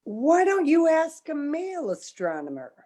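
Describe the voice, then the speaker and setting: A woman acts out a tart female astronomer in a comic radio play. Her high voice swings expressively. She delivers dry, sardonic, snippy retorts with an edge of indignation. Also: wildly swooping pitch, moderate pace, warm tone. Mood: tart, indignant.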